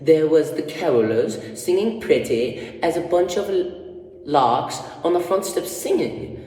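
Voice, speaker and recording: A man voicing an excitable, sentimental female servant with an attempted Scottish accent in a rambling, folksy radio-play style. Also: fairly high pitch, measured pace. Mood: gushing. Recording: echoey room, distant microphone.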